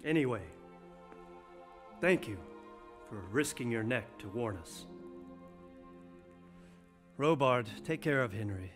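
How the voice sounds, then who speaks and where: Grave, commanding castle lord. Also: male voice, mid-range voice, animated, soft background music. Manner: measured, commanding. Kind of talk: dramatic video game cutscene acting.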